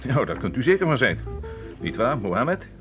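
Radio drama: male actor as courteous inspector, swinging intonation, deliberate formal questioning, music underneath. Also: medium pitch, very animated, lightly acted. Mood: approving, urgent. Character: courteous, probing police inspector. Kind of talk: Dutch radio play acting.

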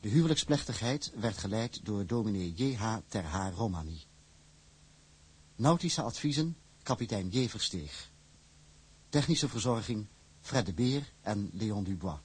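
A man announcing in a measured, formal way, reading scripted credits. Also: fairly low pitch, animated, breathy edge.

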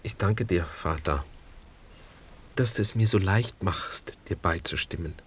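A man's voice: low voice, measured pace, a little hiss or hum. A young man acting in a 1960s German radio drama as a grave, resolute son with an earnest, restrained and formal manner. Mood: subdued, dutiful.

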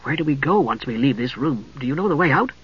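A man's voice: medium pitch, animated, fast, breathy edge, muffled recording. A man voicing an anxious, easily frightened young woman in a storybook, fretful and pleading. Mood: worried.

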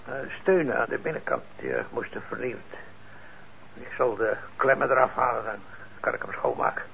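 An actor in a Dutch radio play playing a kindly, weary older instrument repairer, mild and hesitant, with a gravelly voice. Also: male voice, medium pitch, very animated, moderate pace, a bit bright, full character voice. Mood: mild, busy. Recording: muffled, noisy old radio recording.

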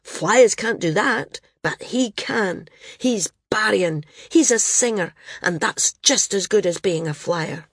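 A woman voicing a tearful, defiant young boy who shouts in raw, pleading bursts. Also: mid-range voice, expressive, takes it slowly, slightly rough, no echo, clean studio sound, exaggerated voice.

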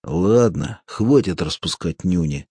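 A wiry man with steady nerves talks in a calm, dryly mocking way, as a male narrator voices him. The voice is deep and husky, recorded close and dry. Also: moderate pace, no echo.

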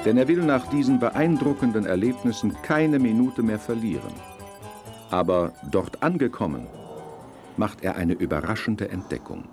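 A man's voice: fairly low pitch, expressive, normal speed. A man narrating a comic children's audio play as a dramatic storyteller. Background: music.

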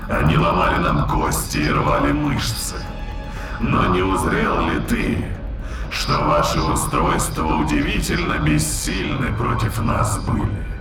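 An actor voicing a haughty otherworldly entity in an ape's body, with a gravelly whispery growl and slow, archaic, pompous phrasing in an audio drama, his voice processed with reverb. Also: medium pitch. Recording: echoey distant voice under loud music.

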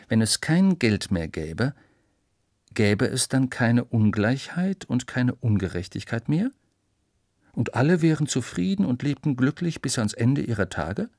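A man's voice: mid-range voice, a little mellow, dry recording, clean studio sound. A man reading scripted educational narration for children, explaining in a storytelling way with rhetorical questions.

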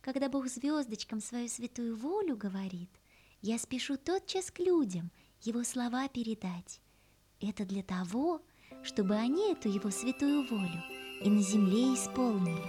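A woman playing a humble little water droplet, a gentle heavenly messenger in a children's fairy-tale audio play, speaking in a solemn singsong. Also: a little high, animated, slightly rough. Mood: gentle, earnest. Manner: slow, solemn, singsong delivery of verse. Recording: music behind the voice.

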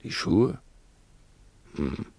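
An actor playing a domineering, mocking conspirator who leads a murder plot in a German radio crime drama, bossy and deliberate in manner. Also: male voice, darkish tone, no echo. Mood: calculating.

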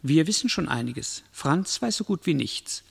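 Stern narrator in cavernous reverb. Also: male voice, on the high side, animated, moderate pace, vocal fry, breathy edge, full character voice. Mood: scornful. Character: stern, mocking omniscient narrator pronouncing doom. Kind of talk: declamatory literary radio drama narration.

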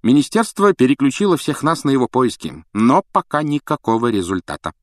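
A man's voice: medium pitch, big pitch swings, fairly quick, no room sound, clean studio sound, pitched above the performer's own voice, natural voice. Man acting a sensible, serious family father. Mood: grave. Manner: grave, matter-of-fact.

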